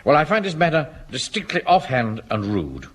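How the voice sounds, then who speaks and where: An actor with an English accent playing a pompous, easily offended middle-aged Home Guard captain in a radio sitcom. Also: male voice, a little high, wildly swooping pitch, moderate pace. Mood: huffy, suspicious. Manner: pompous, hesitant throat-clearing. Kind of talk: British radio sitcom acting. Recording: hissy old radio comedy recording.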